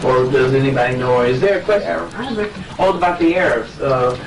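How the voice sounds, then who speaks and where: A man hosting a live talk, moderating casually in a halting, informal way. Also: medium pitch, gravelly, recorded from a distance, background noise. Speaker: host of the talk. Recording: roomy, off-mic, music behind.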